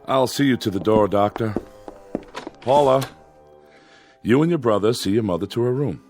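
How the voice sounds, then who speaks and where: A man's voice: medium pitch, animated, normal speed, darkish tone, lightly acted. An actor playing a stuffy, controlling husband and father in a radio drama, with a prim, clipped, bossy manner. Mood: curt. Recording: radio drama with background music.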